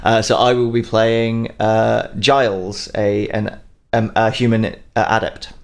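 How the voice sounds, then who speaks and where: A man's voice: on the low side, level tone, slow, gravelly. A man chatting casually as a player on a gaming podcast. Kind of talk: casual chat.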